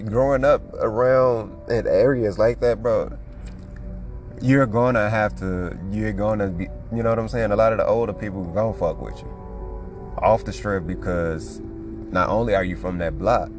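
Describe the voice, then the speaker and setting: A man, talking in a rambling, casual monologue full of slang and "you know". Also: deep voice. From Chicago. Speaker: podcast host. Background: music.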